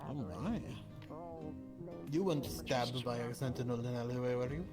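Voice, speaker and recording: Over loud music, a man acts out a wry tavern regular in an improvised online roleplay. He is curious and politely needling, with formal, understated phrasing and a mid-pitched voice. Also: moderate pace, natural voice. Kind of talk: improvised in-character roleplay dialogue.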